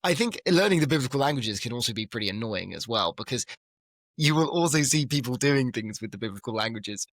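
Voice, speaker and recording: A man who is a scholar and a guest on a podcast, explaining ideas in a relaxed conversation, with emphatic rises and light laughs. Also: medium pitch, brisk, no room sound, clean studio sound. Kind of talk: casual conversation.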